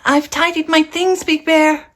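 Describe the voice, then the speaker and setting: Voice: high voice, level tone, a little slow, a bit bright, voice pushed up. A storybook reader voicing a small, eager male bear cub with a childlike, pleading manner. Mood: excited, eager. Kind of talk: read-aloud storybook character voice.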